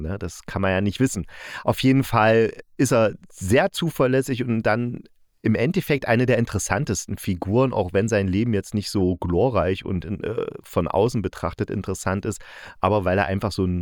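A man with a fairly low voice talks as a reviewer in a casual, chatty way. He thinks aloud and speculates as he goes. The sound is dry, with little room around the voice. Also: dry recording. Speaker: reviewer of audio dramas.

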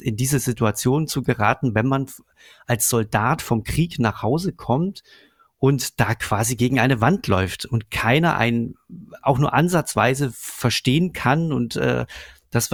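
Gravelly man, casual and dry. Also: mid-range voice, dry recording. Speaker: podcast co-host discussing radio plays and books. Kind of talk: casual personal discussion.

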